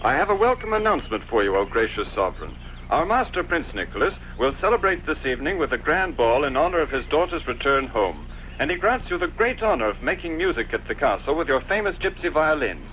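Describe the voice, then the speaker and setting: A man's voice: on the high side, very animated, a little fast, slight background noise. A man dubbing a pompous but obliging castle chamberlain in a costume film, with a florid, ceremonious manner, on a dull film soundtrack. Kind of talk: dubbed costume-film acting.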